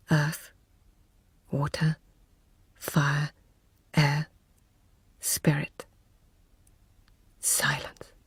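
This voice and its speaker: Breathy, husky court lady. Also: sits low, dry recording, exaggerated voice. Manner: hushed, measured, deliberate phrasing. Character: intimate, anxious Japanese court lady who chronicles palace life. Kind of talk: radio drama acting and poetic narration.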